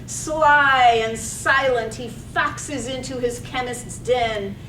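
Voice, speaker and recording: A woman reading poetic scripted narration as a drama narrator, deliberate and relishing each word. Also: fairly even intonation, bright, forceful tone. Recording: roomy, distant mic, faint rumbling noise.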